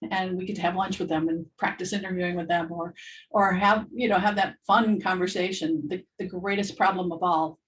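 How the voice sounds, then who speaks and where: A middle-aged woman, an education professor, gives an informal, chatty presentation to prospective students, laughing often and filling pauses with "um". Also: lowish voice, a little hiss or hum, low-quality recording. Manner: animated and chatty, laughs often, many ums.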